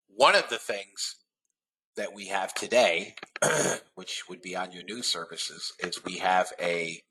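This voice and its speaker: A man's voice: sits low, bright, forceful tone, slightly rough, poor audio. A man hosting a live trading webinar, sounding nasal from a head cold and talking in a rambling way with lots of restarts. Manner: rambling, frequent uh fillers and restarts. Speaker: trading room host.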